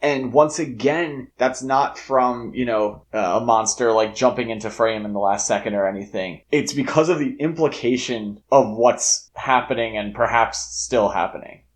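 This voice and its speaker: A man's voice: mid-range voice, normal speed, hardly any pauses. Opinionated man co-hosting a podcast. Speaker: podcast co-host. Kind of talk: casual film discussion.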